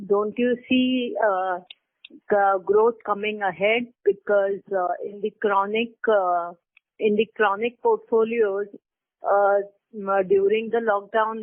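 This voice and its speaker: A tinny phone line carries an Indian-accented woman speaking slowly at a medium pitch. She is an analyst, asking her questions haltingly, piece by piece. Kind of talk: earnings call questions. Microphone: telephone.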